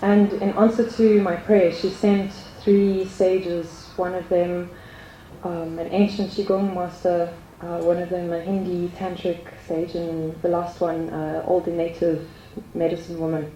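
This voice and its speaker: A woman with a low, mellow voice shares a heartfelt spiritual testimony, pausing often and filling the gaps with ums. The room is echoey, with a faint hum behind her. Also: little pitch movement. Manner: earnest, hesitant, many ums. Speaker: group speaker sharing testimony.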